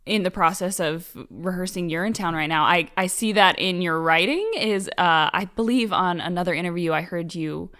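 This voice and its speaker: A woman's voice: on the low side, moderate pace, few pauses, a bit bright. A woman chatting casually as a podcast co-host in an interview.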